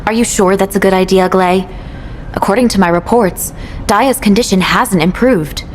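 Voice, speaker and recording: An actress voicing a mission operator in a video game cutscene, giving briefings in a measured, businesslike way. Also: female voice, mid-range voice, natural voice. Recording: game audio with noise.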